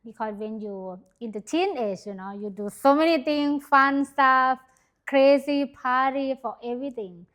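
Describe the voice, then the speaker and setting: A young Thai woman from the Northeast, telling her life story in a casual interview, in halting English with a Thai accent and many fillers. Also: high voice, a bit bright. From Thailand, Isan region. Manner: hesitant, many fillers, simple grammar. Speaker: interview guest sharing her testimony.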